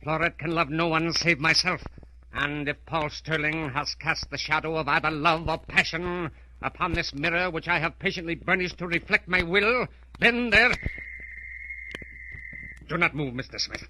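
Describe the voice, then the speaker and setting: An actor playing an imperious, menacing mastermind doctor in an old radio drama, speaking formally and grandly. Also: male voice, a little high, pushed and bright, rough recording. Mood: proud, threatening. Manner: formal, measured, commanding, grandiose. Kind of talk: 1930s radio drama acting. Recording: old radio recording with faint noise.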